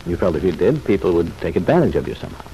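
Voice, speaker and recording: Kindly male psychiatrist, vintage radio soap, deep voice, reassuring and formal, faint recording noise. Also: sing-song, mellow, lo-fi sound. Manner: soothing, formal, measured phrasing. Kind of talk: old-time radio soap opera acting.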